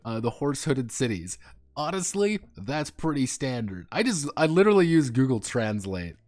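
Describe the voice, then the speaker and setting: A man's voice: mid-range voice, big pitch swings, normal speed, a little mellow. A man live streaming as a game designer, rambling casually between reading draft text aloud and making chuckling asides.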